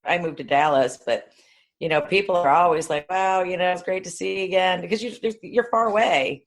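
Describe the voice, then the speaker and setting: A woman's voice: lowish voice, fairly quick. A woman speaking as a podcast co-host in a casual, conversational style. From northern New York State, United States. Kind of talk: casual chat.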